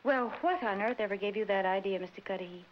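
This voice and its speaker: An actress playing a shrewd, confident young woman in a 1940s comedy film, brisk and coy with slangy lines. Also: medium pitch, lightly acted. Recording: muffled, noisy old film soundtrack.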